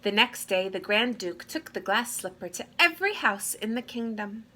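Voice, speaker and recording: A woman reading a fairy tale aloud to children with deliberate, expressive phrasing. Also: medium pitch. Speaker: read-aloud storyteller. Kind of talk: expressive children's story narration. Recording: clear background noise.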